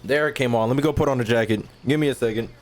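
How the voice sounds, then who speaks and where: A man's voice: mid-range voice, very fast. A man chatting casually to his livestream audience while gaming, using slang and swearing freely. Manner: slangy, swearing, talking to chat. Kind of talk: casual gaming stream chat. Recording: game music behind the voice. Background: video game music and sounds.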